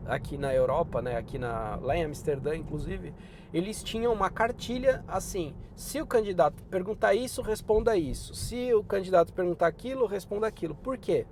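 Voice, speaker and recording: High-voiced Brazilian man chatting casually. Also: slight background noise. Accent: Brazilian Portuguese. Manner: relaxed and chatty, often drops in "cara" and "né". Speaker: YouTuber giving job-hunting tips. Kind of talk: casual advice vlog.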